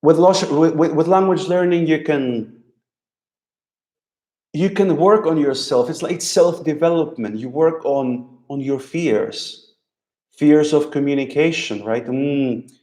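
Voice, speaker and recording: A man with a Russian accent hosting a live stream as a language teacher, speaking slowly and haltingly and repeating his words as he talks. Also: a little high, mellow. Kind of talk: live stream talk answering viewers. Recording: echoey room.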